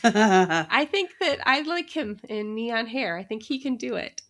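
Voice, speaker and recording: A woman's voice: fairly high pitch, animated, a little slow, fairly bright tone. A woman co-hosting a podcast in casual chat, talking easily and laughing often between remarks. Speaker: podcast co-host.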